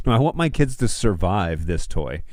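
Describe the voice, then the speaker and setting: A man's voice: deep voice, very animated, normal speed, a little mellow, no echo, clean studio sound. A middle-aged man hosting a livestream, chatting informally and rambling as he thinks aloud while browsing. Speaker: livestream host.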